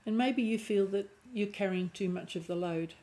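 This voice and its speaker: A woman with a croaky voice and a lingering cough giving an intuitive tarot reading, thinking aloud and often restarting her phrases. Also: mid-range voice, normal speed, mellow, slight background noise. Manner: musing aloud, restarting phrases, clearing throat. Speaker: tarot reader.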